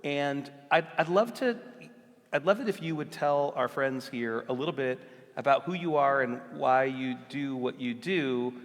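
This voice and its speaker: A man moderating an onstage conversation at a live event, earnest and halting, with frequent restarts. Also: mid-range voice, in a large hall. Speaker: moderator, organization CEO. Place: large hall, live event.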